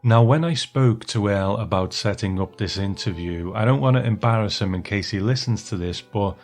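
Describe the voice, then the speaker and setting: A man hosting a podcast with a scripted introduction, speaking in an earnest, measured way. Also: fairly low pitch, darkish tone, soft background music. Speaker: podcast host.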